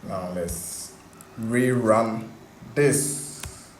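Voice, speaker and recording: A man's voice: on the low side, extremely slow. A man hosting a coding tutorial in a casual, conversational way, repeating himself for emphasis. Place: indoor room, voice over screen recording. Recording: echoey room, background hiss.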